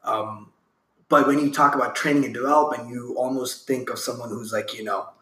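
A man's voice: medium pitch, steady tone, fairly quick, bright, forceful tone. Rambling male interviewer in an echoey room. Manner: rambling, loosely worded questions. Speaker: interviewer, host. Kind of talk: casual interview conversation.

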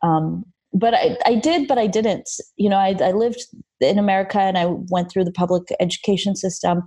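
A woman's voice: medium pitch, brisk, mellow. A young adult woman designer who grew up between Armenia and Los Angeles, talking reflectively and with enthusiasm in an interview, with fillers and restarts. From Armenia, raised in Los Angeles. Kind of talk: casual interview.

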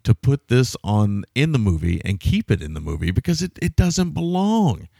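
A man's voice: mid-range voice, big pitch swings, moderate pace, gravelly, mellow, no echo, clean studio sound. A man co-hosting a podcast, reviewing a film in casual, rambling, amused talk. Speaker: podcast co-host. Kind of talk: casual movie review chat.

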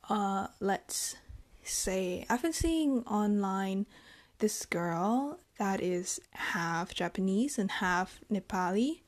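Young woman vlogger from Germany, unhurried and reflective, pausing to find her words. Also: mid-range voice. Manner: thoughtful, hesitant, halting phrasing. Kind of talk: reflective personal monologue.

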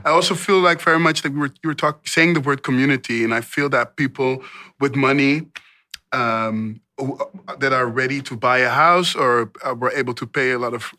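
A man's voice: medium pitch, moderate pace, bright, forceful tone, no echo. A man from Amsterdam hosting an interview, asking long, reflective, rambling questions. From Amsterdam, Netherlands. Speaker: host. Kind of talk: casual interview conversation.

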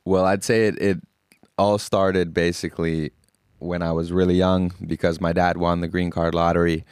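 A young man from Finland with an American accent, calmly explaining things as an interview guest and often repeating words. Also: deep voice, moderate pace, clear, resonant tone, dry recording, clean studio sound. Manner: calm, even explanations with frequent word repeats. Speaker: guest, golf caddie. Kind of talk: casual interview answers.

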